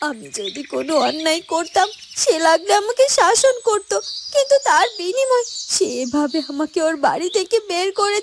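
A woman's voice: very high voice, a little hiss or hum, exaggerated voice. A woman voicing a heartbroken young wife lamenting being thrown out of her home, in a Bengali cartoon. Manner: tearful, lamenting. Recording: music under the voice.

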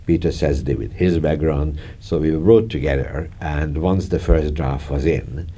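Older Hungarian-accented man, deep and mellow. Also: slightly rough. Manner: chatty, laughing, gesturing, halting. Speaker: film director. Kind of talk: reminiscing interview. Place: dark studio, seated in armchair.

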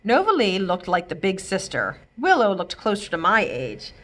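Woman librarian, storybook read-aloud, animated and expressive, mid pitch. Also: moderate pace. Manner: expressive, lively character voices. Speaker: children's librarian reading aloud. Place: library, indoors.

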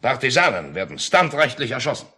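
An actor playing a curt, suspicious, commanding general in a 1950s radio play. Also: male voice, mid-range voice, sing-song, a little fast, sharp tone. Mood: stern, interrogating. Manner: clipped, stern.

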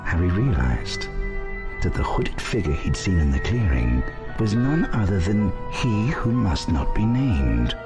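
A solemn narrator reading a game storybook. His voice is low and varied in pitch, over clear music. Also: sing-song, measured pace. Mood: solemn, ominous. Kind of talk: scripted dramatic storybook narration.

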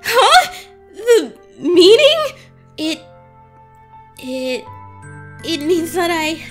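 A woman's voice: extremely high pitch, sing-song, extremely slow, faint music behind, full character voice. A young woman voicing an awkward, flustered teenage superheroine in a comic dub, stammering and gushing. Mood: nervous, flustered. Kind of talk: animated-style comic dub acting.

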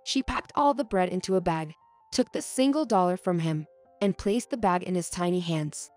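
A woman narrating a heartfelt story in a calm, even way. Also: on the low side, normal speed, soft background music.

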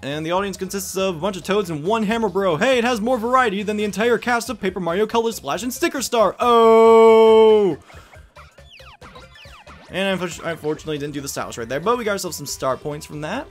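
A man's voice: high-pitched, a little fast. A man giving playful video game commentary as a Let's Play host, with jokey, self-deprecating asides. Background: game music.

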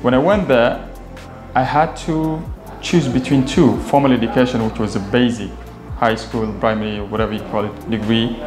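A man's voice: mid-range voice, fairly even intonation, moderate pace, over music. A young man from Somalia with a Somali accent, a native Somali speaker, telling his own story casually as an interview guest. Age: young adult. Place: indoor office lounge with glass walls. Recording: echoey room, faint background noise.

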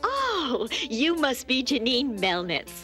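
A brisk young female TV reporter in a cartoon. Her high voice moves expressively, businesslike and insistent, with light music underneath. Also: huge pitch swings, exaggerated voice. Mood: polite. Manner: polite but insistent, businesslike. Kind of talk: cartoon voice acting.

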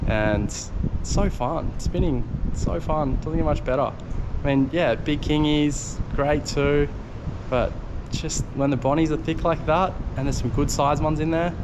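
Australian man talking over crashing surf. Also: medium pitch. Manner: chatty, exclaims often while fighting fish. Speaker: fishing show host. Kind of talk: live running commentary while fishing. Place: rocky ocean ledge outdoors at sunrise. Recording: outdoor surf noise. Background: crashing surf and waves.

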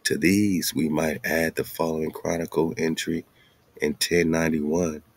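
Deep-voiced American man reading aloud. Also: steady tone, unhurried, breathy edge. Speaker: host. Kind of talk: reading a text aloud with off-the-cuff commentary.

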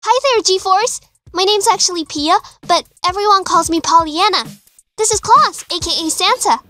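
A woman's voice: very high-pitched, lively intonation, normal speed, bright, forceful tone, no room sound, full character voice. A woman playing a bubbly, friendly teenage schoolgirl in a visual novel, perky and chatty. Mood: cheerful. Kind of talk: visual novel character acting.

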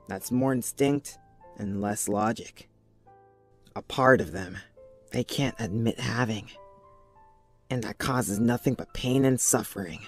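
A devoted cartoon teenage boy acted by a man, earnest and sincere. He speaks slowly in a mid-range voice, with music behind him. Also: medium pitch. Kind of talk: fan comic dub voice acting.

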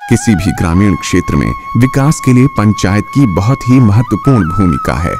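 A man reading scripted documentary narration in a formal, measured, explanatory manner. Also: mid-range voice, wildly swooping pitch. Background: background music.

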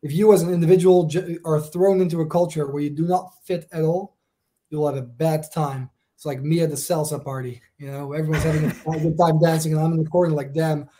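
A man's voice: on the high side, fairly even intonation, fairly quick, dark tone. A man in his thirties with a Dutch accent, speaking as a guest in a video-call panel discussion and repeating words as he starts his points. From Netherlands. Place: home room, video call.

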